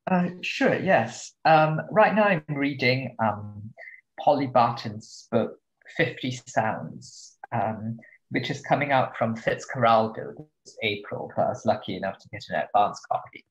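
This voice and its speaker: A man's voice: mid-range voice, lively intonation, measured pace, muffled recording. A male translator guest talking about books in a thoughtful, hesitant way with many ums. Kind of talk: casual podcast interview.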